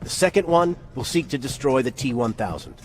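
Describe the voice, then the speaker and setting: An actor playing a solemn, resolute resistance commander in a video game, speaking in a deliberate, measured way. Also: male voice, mid-range voice, sing-song, natural voice. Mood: grave, urgent. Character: grave, resolute male resistance commander in a future war. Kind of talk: dramatic video game acting. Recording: game dialogue over loud music.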